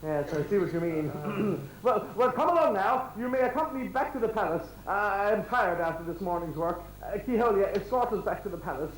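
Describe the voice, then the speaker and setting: A man's voice: sits high, expressive, normal speed, few pauses. A man playing a flustered, pompous and lecherous king in an amateur stage pantomime, stammering courtesies and bossing his servant. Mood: bossy. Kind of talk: broad amateur pantomime acting. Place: hall stage. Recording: echoey stage recording of a live show.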